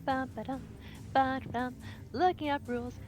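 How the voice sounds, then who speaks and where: A woman's voice: sits high, fairly even intonation, moderate pace, darkish tone, faint music behind. A woman at a tabletop roleplaying game, chatting casually and playfully.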